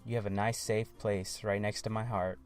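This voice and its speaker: A man's voice: fairly low pitch, flat delivery, moderate pace, a little mellow, soft background music. A man in his twenties with an American accent gives casual movie commentary, wryly asking himself questions and answering them. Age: 20s. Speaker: movie vlog host.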